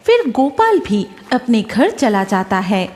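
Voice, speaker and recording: A woman's voice: fairly high pitch, sing-song, slow, a little mellow, faint music behind. A woman narrating a scripted horror cartoon story.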